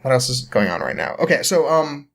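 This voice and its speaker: A young man with a mid-pitched voice talks as a podcast guest, recounting a story in a loose, rambling way. His delivery is informal and often breaks into chuckles. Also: sing-song, brisk, creaky, a bit bright. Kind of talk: casual chat, rambling story recap.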